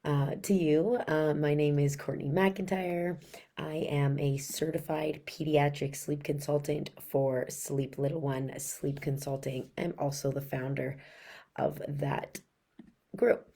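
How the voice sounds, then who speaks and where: A hoarse woman in her thirties who is losing her voice, a pediatric sleep consultant giving casual, chatty parenting tips to camera with a raspy sound. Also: low-pitched, fairly even intonation, slow pace, dark tone. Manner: chatty and frank, many asides.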